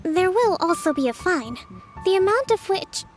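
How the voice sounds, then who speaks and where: A woman playing a composed, shrewd young priestess leader in a video game, speaking in a measured, formal, gentle but firm way. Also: very high-pitched, sing-song, faint music behind. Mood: firm, official. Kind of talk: scripted video game voice acting.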